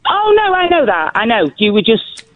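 Deep-voiced woman on a phone line. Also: wildly swooping pitch, moderate pace. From northern England. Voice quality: deep, slightly crackly voice. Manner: hesitant, nervous, apologetic. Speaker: caller. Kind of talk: phone-in call to a radio show.

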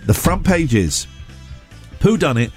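A man with a somewhat high, very animated voice hosts a morning radio show from the studio. His nose is blocked, and he chats loosely, cracking jokes and tripping over his own words. Faint music plays behind him. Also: a little slow. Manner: rambling, jokey, stumbles and corrects himself. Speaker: radio breakfast show host. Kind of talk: casual chat and reading out stories.

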